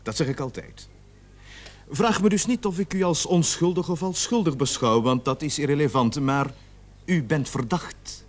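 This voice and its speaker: An actor playing a stern police sergeant in a radio play, speaking formally and with measured authority. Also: male voice, fairly high pitch, close to an everyday voice. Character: stern police sergeant leading a murder investigation.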